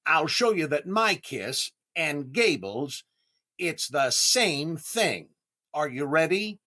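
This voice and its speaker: An actor playing a vain, hapless comedian in 1930s-style radio comedy, with a deliberate, indignant delivery and dramatic pauses. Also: male voice, a little high, a bit bright, exaggerated voice. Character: vain, hapless comedian desperate to prove his sex appeal.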